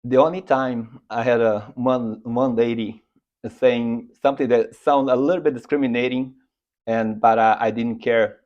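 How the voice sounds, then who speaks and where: Calm man. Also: mid-range voice, moderate pace, lo-fi sound. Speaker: interviewee. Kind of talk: storytelling interview.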